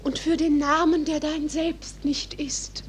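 A woman playing an ardent, anxious girl in love in a 1940s radio play, speaking classical verse in a breathy, hushed declamation. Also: high-pitched, flat delivery, slow. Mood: wistful longing. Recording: hissy 1940s radio recording.

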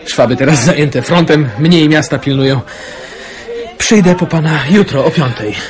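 A man's voice: on the high side, expressive, normal speed, a crowd in the background, close to an everyday voice. An actor playing a determined young man in a wartime radio drama. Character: resolute young man in occupied wartime Poland.

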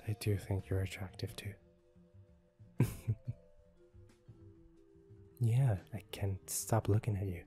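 A man playing a smitten, teasing young office worker in an ASMR romance roleplay, speaking softly and intimately, often close to a whisper. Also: deep voice. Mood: tender, smitten. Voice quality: soft, breathy, often dropping to a whisper. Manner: slow, halting, intimate, teasing. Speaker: ASMR voice actor. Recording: music behind a close voice. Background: soft instrumental music.

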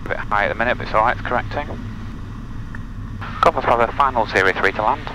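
A man's voice: medium pitch, fast. A man piloting a small plane, switching between clipped radio calls and casual, matter-of-fact chat. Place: small aircraft cockpit in flight. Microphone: cockpit audio recorder on intercom. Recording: loud aircraft engine and cockpit noise.

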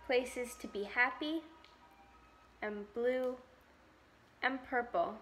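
Slow-paced young woman reading a picture book. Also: fairly high pitch, fairly bright tone, soft background music. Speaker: volunteer story reader.

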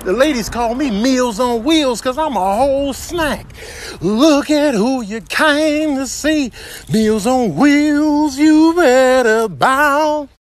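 A man voicing cocky, excitable animals in streetwise slang for a comic viral-clip voice-over. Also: very high voice. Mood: cocky boasting. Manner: streetwise slang, drawn-out boasting, exclamations. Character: cocky, excitable animals: dogs, cats, wildlife. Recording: noisy compressed phone-clip audio.

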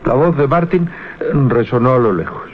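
A man narrating a radio drama, telling the story with deliberate, halting suspense. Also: medium pitch, lively intonation, noisy background. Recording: muffled 1980s radio recording with music. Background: music.

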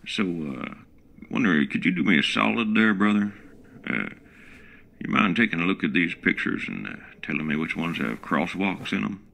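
A man's voice: low voice, lively intonation, moderate pace, vocal fry, bright, forceful tone, a little hiss or hum, exaggerated voice. A man playing a drawling country smart speaker. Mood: folksy. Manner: drawling, folksy, calls people partner. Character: folksy male smart-home assistant with a country drawl. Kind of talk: comedic radio play acting.

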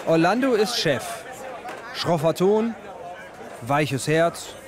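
A man's voice: fairly high pitch, lively intonation, slow pace, a crowd in the background, noisy background. Man giving terse documentary narration. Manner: terse clipped phrases. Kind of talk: documentary voice-over.

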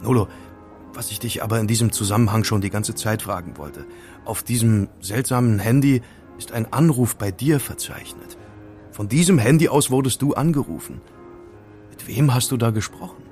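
An actor playing a casual amateur sleuth who recounts intrigue in a wry, conversational way in an audio drama. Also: male voice, medium pitch, normal speed, a little mellow, faint music behind, natural voice. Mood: explaining. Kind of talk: German audio drama dialogue.

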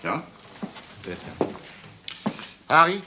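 Drily insistent police detective. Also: male voice, a little high, big pitch swings, very slow, background noise, full character voice. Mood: brisk. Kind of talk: German crime radio play acting.

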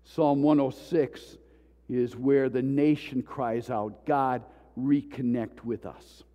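A male pastor preaching a sermon with passion, swinging between loud pleas and hushed tones. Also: medium pitch, fairly even intonation, takes it slowly, in a large hall, highs cut off. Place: church sanctuary.

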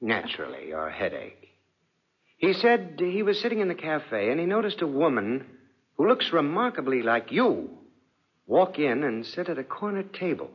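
An actor playing a suspicious aristocratic husband in a 1940s radio drama, with silky, deliberate, insinuating politeness. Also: male voice, on the high side, huge pitch swings, breathy edge, exaggerated voice. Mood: veiled menace. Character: suave, suspicious, menacing husband, an aristocratic lord. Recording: dull, muffled 1940s radio recording.

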